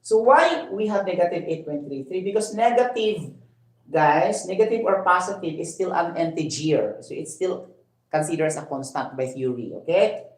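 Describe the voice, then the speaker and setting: A woman with a Filipino accent teaches math step by step, her voice sitting a little low. She keeps asking "no?" and "okay?" to check on her viewers. The sound bounces around a roomy space. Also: expressive, normal speed. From Philippines. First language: Cebuano. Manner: explains step by step, adds tag questions like "no?" and "okay?". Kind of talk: livestream math lesson.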